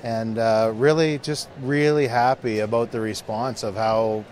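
A man's voice: medium pitch, measured pace. A man in his thirties, a company owner, explaining his product earnestly and steadily in an interview at a busy expo booth, into a handheld mic. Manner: earnest, steady, slightly worn-out. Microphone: handheld mic held by interviewer.

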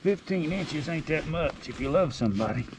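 A man fishing from a bass boat, muttering and coaxing the fish as he films his day on the lake. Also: animated, moderate pace. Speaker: angler, channel host. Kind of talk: casual on-the-water commentary. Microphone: action camera on the boat. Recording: distant outdoor camera audio with wind and boat noise.

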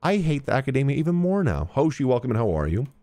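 Animated young male game streamer. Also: mid-range voice, fast talker. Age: young adult. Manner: slangy, teasing. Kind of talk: casual live reaction commentary. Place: indoor streaming room. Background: game music.

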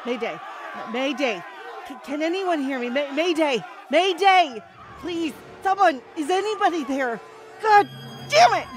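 A woman's voice: sits high, lively intonation, a little slow, over music, crowd noise. An actress playing a fierce, foul-mouthed, hopeful woman pilot in an apocalyptic sci-fi audio drama. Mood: desperate, pleading. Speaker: voice actor.

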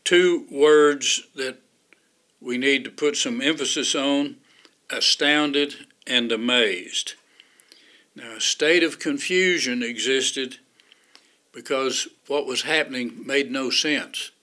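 An older man with a Texan accent, teaching a Bible lesson slowly and deliberately, pausing between phrases, to a camera in a room lined with bookshelves. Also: medium pitch, pushed and bright. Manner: slow, deliberate teaching with pauses. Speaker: Sunday school teacher. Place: room with bookshelves behind him.

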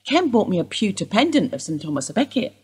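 An actress playing a sharp-tongued, bitter woman in her sixties, wry and sardonic in a radio play. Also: medium pitch, sing-song, fast, slightly rough.

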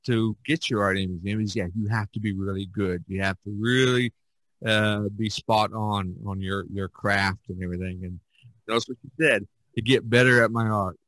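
A man hosting a casual podcast chat, talking in a halting, rambling way. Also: fairly low pitch, fairly even intonation, a bit bright, dry recording, low-quality recording. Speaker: podcast host.

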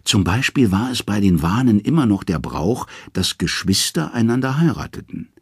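A man narrating mythological tales from a script in a measured, dramatic storytelling manner. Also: deep voice, husky, no echo, studio quality.

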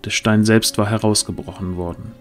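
A man with a fairly low voice narrates a fantasy audio play. The delivery is scripted storytelling. Faint music plays beneath the words. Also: fairly even intonation, brisk.

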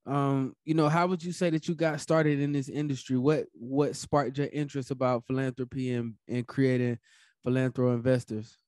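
A man's voice: mid-range voice, little pitch movement, moderate pace, darkish tone, no echo, clean studio sound. A man hosting a podcast and asking questions in a casual, conversational way. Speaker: podcast host. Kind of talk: casual interview.

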